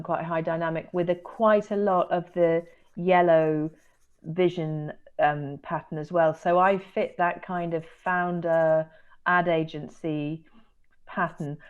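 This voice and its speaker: A woman's voice: on the low side, muffled recording. A woman guest in an interview, thoughtful and enthusiastic, who laughs easily. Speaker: guest, speaking coach. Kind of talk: conversational interview.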